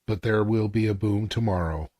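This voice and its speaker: A man's voice: fairly low pitch, normal speed, a little mellow, no room sound, studio quality. A man co-hosting a fan podcast, casual, agreeable and easygoing. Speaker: podcast co-host. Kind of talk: casual chat.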